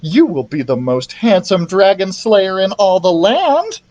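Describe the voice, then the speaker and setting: A woman voicing a doting, excitable storybook queen who gushes in drawn-out exclamations. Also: fairly low pitch, highs cut off, pitched above the performer's own voice, full character voice. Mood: doting, gushing. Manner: gushing, drawn-out exclamations, talks over others. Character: excitable, gushing, doting storybook queen mother, hard of hearing.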